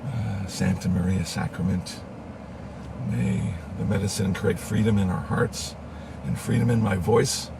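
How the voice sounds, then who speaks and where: A middle-aged man with a cold, hoarse and murmuring, leading a meditative live-streamed ceremony. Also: lowish voice, distant mic, noisy background. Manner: slow, meditative, murmuring thanks. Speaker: spiritual leader.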